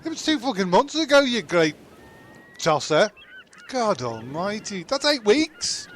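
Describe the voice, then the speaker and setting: Guy doing an indignant castaway, highish voice, really animated, nags and swears, radio comedy. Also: measured pace, a little hiss or hum, full character voice. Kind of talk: comic radio play acting.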